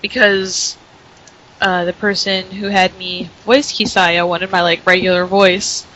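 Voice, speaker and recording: A woman's voice: on the low side, little pitch movement, a little slow, sharp tone, dull audio, a little hiss or hum. A woman voice actor chatting casually on a podcast, giggling and full of fillers. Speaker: podcast guest, voice actress. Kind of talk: casual chat.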